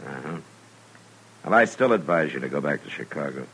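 A man's voice: very deep voice, lively intonation, brisk, a bit bright, slightly rough, full character voice, rough recording. A man acting in a 1950s radio western as a weary frontier marshal, laconic and wry, with dry humor. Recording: vintage radio recording, faint noise.

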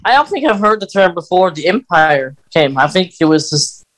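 A man co-hosting a podcast in casual conversation, talking in a halting way. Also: high-pitched, big pitch swings, a bit bright. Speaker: podcast co-host.

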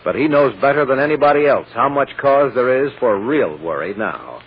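40s radio announcer guy, dramatic, husky, dull old recording. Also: medium pitch, expressive, normal speed. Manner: emphatic, dramatic phrasing. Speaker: announcer, narrator. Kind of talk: scripted 1940s radio narration.

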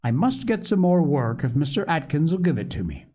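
A man's voice: a little high, sing-song, fairly quick, slight background noise. Man reading as a cheery, tender storybook mother, lilting. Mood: gently busy. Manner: warm, homely, gently cheerful. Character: cheery, tender, pious mother of small children.

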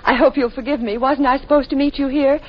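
A woman's voice: high-pitched, fairly even intonation, fast, background noise, lightly acted. Actress as a polite young woman, old radio sound. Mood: bright, chatty. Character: polite, trusting young woman visiting from out of town. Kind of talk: 1940s radio acting. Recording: dull vintage 1940s radio recording.

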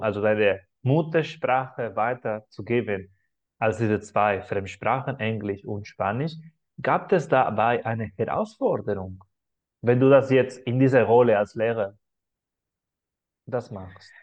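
A dull, lo-fi video call carries the voice of a man hosting a podcast. His pitch sits in the middle range as he introduces topics and puts questions to his guest. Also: slow pace, a little mellow. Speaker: podcast host, interviewer. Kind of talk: podcast interview.

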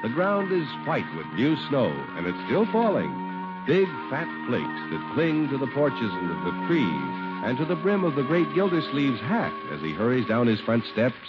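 Animated American male radio announcer, muffled. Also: medium pitch, moderate pace. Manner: polished, emphatic. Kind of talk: 1950s radio announcing, scripted sponsor read and narration. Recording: muffled old radio broadcast. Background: music.

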